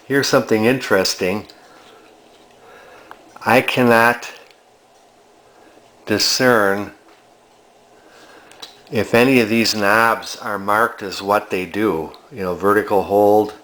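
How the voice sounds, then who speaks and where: Guy w a lowish voice doing a casual show and tell, really unhurried, kinda halting. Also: fairly bright tone. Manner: hesitant, musing, halting delivery. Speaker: vintage electronics collector presenting a find. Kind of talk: casual unscripted walk-through commentary.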